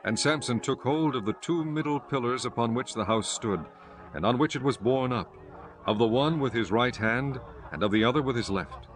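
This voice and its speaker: A man's voice: medium pitch, normal speed, rough recording. A man giving a scripted narration of scripture as narrator of an audio drama.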